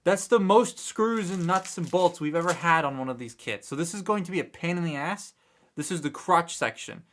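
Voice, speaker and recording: A man's voice: on the high side, expressive, normal speed, a bit bright. A man hosting a casual toy livestream, chatting to viewers in a rambling way with many fillers, still a little congested from a cold. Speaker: toy review livestream host. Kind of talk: casual live chat while building a kit. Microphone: desk mic close by.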